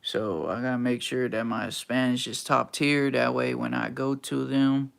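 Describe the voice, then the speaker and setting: Slow, gravelly young man chatting on stream. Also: medium pitch, steady tone. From Texas. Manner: laid-back, slangy, answers chat with teasing. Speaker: livestream host reading chat. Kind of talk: casual livestream chat.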